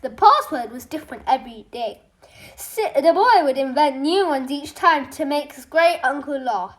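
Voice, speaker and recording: A storytime reader narrating a children's book aloud, haltingly, with stumbles and self-corrections. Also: high-pitched, expressive, fairly bright tone. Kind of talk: children's audiobook reading, scripted narration.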